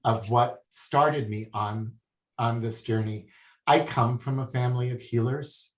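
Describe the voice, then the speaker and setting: A man from Hawaii, a guest speaking about healing in a soft, hesitant way with many pauses. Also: mid-range voice. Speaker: guest, spiritual healer. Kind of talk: casual interview talk. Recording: echoey room.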